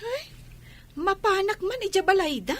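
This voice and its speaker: A woman's voice: very high-pitched, animated, normal speed, fairly bright tone. A woman playing a persuasive boutique-owning cousin in a village comedy radio drama, coaxing and pleading as she talks. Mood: curious, chatty. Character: persuasive, coaxing female cousin who runs a town boutique.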